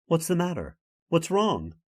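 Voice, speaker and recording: A man's voice: fairly high pitch, wildly swooping pitch, a little slow, exaggerated voice. A man acting as an earnest, dramatic hero and narrator of an old-time radio horror tale. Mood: anxious. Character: earnest man recounting his supernatural ordeal.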